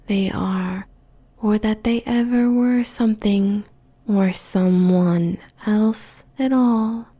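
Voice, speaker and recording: A woman's voice: medium pitch, dark tone, husky, exaggerated voice. A woman playing a seductive, possessive demon succubus queen in a roleplay audio, whispering breathily and savouring her words with teasing sensuality.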